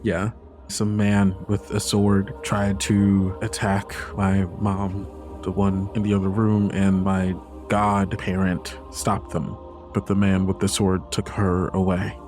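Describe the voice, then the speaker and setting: Guy roleplaying an unsure defensive young girl, lowish voice, halting casual questions, faint music. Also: monotone, darkish tone. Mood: hesitant. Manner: hesitant, informal, questioning. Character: uncertain, defensive young girl, a god's child.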